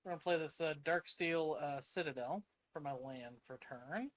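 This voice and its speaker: A man's voice: a little high, moderate pace. A man chatting casually as he takes part in a tabletop card game.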